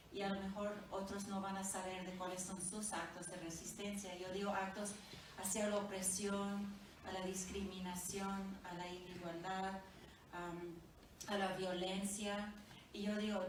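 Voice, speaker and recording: Woman therapist, hesitant speaker, picked up by a distant mic in an echoey hall with steady noise. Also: medium pitch. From Honduras, raised in the United States. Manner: hesitant, searching for words with fillers. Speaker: family therapist and researcher. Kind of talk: book presentation remarks.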